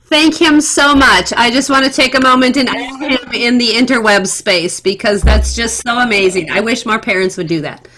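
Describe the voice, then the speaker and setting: A woman's voice: a little high, normal speed, hardly any pauses, crowd noise, rough recording. A middle-aged woman hosting an astrology podcast, effusive and enthusiastic in casual talk. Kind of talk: casual conversation.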